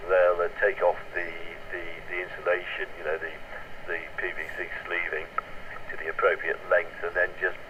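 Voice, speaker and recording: A man's voice: low voice, flat delivery, moderate pace. A man chatting casually as an amateur radio operator over two-way radio, hesitant and rambling, often repeating his words. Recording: muffled, noisy two-way radio transmission, distant.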